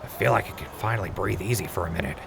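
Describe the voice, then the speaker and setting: A man playing a weary, gruff wasteland traveler with a gravelly voice in an audio drama. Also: sits low, racing, background noise. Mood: weary relief.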